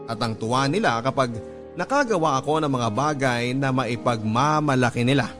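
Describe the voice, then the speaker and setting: An actor voices a sincere young Filipino man recounting his own life. The delivery is scripted, confessional radio-drama narration at a medium pitch. Music plays clearly behind him. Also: close to an everyday voice. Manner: earnest, sincere. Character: earnest young male pilot telling his life story. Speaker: narrator of a letter in first person.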